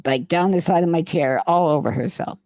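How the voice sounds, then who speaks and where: A man's voice: on the high side, fairly quick, vocal fry, darkish tone, no echo. A man co-hosting a podcast, telling a long rambling anecdote in casual chat and swearing freely. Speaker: podcast co-host. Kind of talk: casual storytelling.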